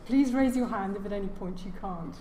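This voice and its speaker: A soft-spoken woman giving a formal lecture as a guest speaker, with frequent hesitations and fillers. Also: mid-range voice, brisk. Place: large lecture room. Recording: echoey lecture hall, faint noise.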